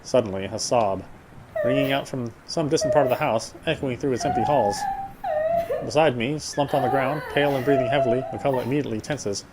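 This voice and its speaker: A man's voice: medium pitch, huge pitch swings, a little fast, very noisy. A man reading a game's story narration aloud in a dramatic storytelling style.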